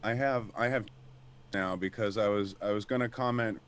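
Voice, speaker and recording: Deep-voiced, halting man. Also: fairly even intonation, a bit bright, clean tone. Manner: halting, restarts often, searches for words. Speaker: panel guest. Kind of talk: casual panel discussion.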